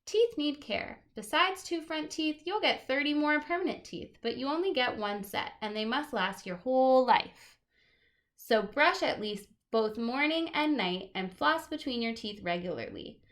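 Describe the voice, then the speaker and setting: A woman's voice: high-pitched, lively intonation, unhurried, fairly bright tone. A woman teacher reading a children's nonfiction picture book aloud, stressing key words expressively and adding casual asides.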